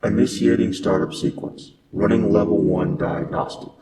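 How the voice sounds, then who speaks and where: A man voicing a cold, emotionless spaceship computer in flat, deliberate announcements, through a pitched-down robot effect with echo. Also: a loud crowd. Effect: pitched-down robot effect with echo or reverb.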